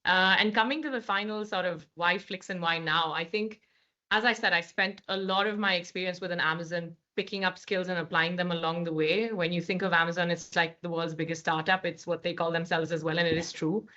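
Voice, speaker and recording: A woman's voice: lowish voice, fairly even intonation, a little fast, pushed and bright. A woman in her thirties with an Indian accent, a guest speaking articulately in a podcast interview with frequent fillers. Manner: articulate, many fillers and restarts. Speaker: guest, managing director. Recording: slightly dull lo-fi sound.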